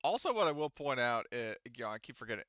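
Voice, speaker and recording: Clear, bright-voiced man running a tabletop game. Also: medium pitch, very animated, fast talker, no echo, lo-fi sound. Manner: rambling, explanatory, casual swearing. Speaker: game master. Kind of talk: casual tabletop game narration.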